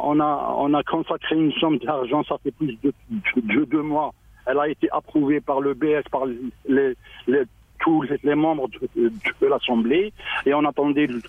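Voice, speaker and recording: A man's voice: mid-range voice, husky, no echo. Stammering man on a phone line. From Algeria. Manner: halting, stammering, repeats words. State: choked up. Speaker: local mayor phoning in. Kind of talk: radio phone interview.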